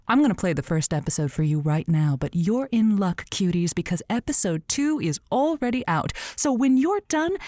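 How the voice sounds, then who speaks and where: Woman as playful late-night radio hostess, chatty and cutesy, very animated delivery, dry close sound. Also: mid-range voice, no echo, natural voice. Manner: playful, chatty, addresses listeners cutely. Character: Josie Heller, flustered stand-in-less female late-night radio host.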